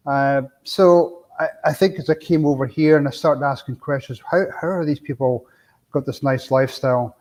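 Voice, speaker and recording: A man's voice: mid-range voice, fairly even intonation, normal speed, darkish tone. A middle-aged man from Scotland with a Scottish accent, talking casually as a guest on a business podcast, peppering his stories with "you know". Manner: plainspoken, storytelling with frequent "you know".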